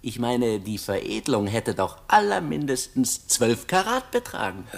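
An actor playing a simple, eager young peasant, naive and chatty, in a theatrical radio play. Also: male voice, mid-range voice, expressive, moderate pace, creaky. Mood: eager, reasoning.

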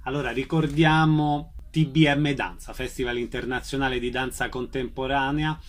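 A man's voice: mid-range voice, steady tone, normal speed. A man working as a radio host, interviewing a guest.

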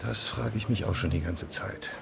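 An actor playing a dry, stubborn undercover police detective in a crime radio drama, laconic and sardonic. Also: male voice, low-pitched, moderate pace, vocal fry. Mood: dry, confident. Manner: laconic, sardonic, deadpan wording. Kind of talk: naturalistic German crime radio drama acting. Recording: faint background noise.

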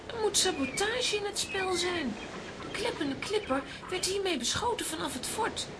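Very high-voiced bossy child. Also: female voice, lively intonation, normal speed, background noise. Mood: alert, insistent. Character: bossy, sharp-witted young child. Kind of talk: children's audio drama acting.

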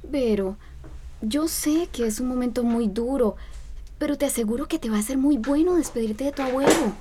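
An actress playing a gentle, persuasive young friend in a radio drama, with a Costa Rican accent. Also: female voice, high voice, moderate pace, breathy edge. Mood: coaxing, earnest.

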